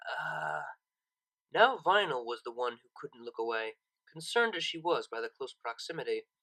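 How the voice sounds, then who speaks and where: Audiobook narrator, mid-pitched, bright and forceful, dramatic deliberate phrasing. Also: poor audio. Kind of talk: expressive scripted story narration.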